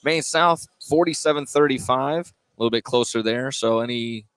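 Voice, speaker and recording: A man doing live play-by-play basketball commentary, a little halting, often repeating and correcting himself. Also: mid-range voice, fairly bright tone, dry recording. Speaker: play-by-play announcer. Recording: clear gym ambience, bouncing basketballs. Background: basketball game in a gym.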